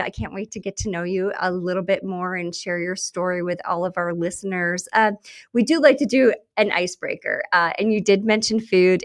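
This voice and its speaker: A woman from Buffalo hosting a podcast, chatting casually and laughing easily with her guest. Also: mid-range voice. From Buffalo, USA. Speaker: podcast host. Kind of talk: casual interview chat.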